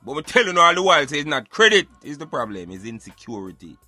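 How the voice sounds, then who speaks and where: A man's voice: fairly high pitch, sing-song, fast, sharp tone, dry recording, soft background music. A Jamaican man giving emphatic, opinionated social commentary in Patois, like a street philosopher preaching on a radio show.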